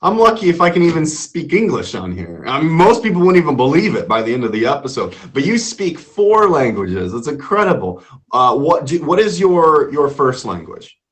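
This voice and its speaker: A man's voice: fairly high pitch, big pitch swings, normal speed. A man hosting an interview show, asking questions in a playful, joking way. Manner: upbeat, playful, jokey. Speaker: host. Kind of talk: casual interview.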